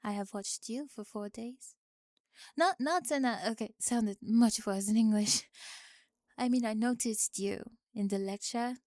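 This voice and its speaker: Woman playing a forward, high-voiced French exchange student. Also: dark tone, no room sound, studio quality, lightly acted. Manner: blunt, self-amused, searching for words. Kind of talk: ASMR roleplay acting.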